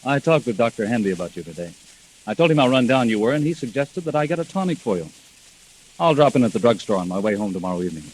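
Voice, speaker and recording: Casting: man to play outwardly solicitous, evasive husband, animated pitch, clipped lines, faintly hissy vintage radio. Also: fast talker, close to an everyday voice, poor audio. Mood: offhand, evasive. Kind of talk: 1940s radio mystery acting.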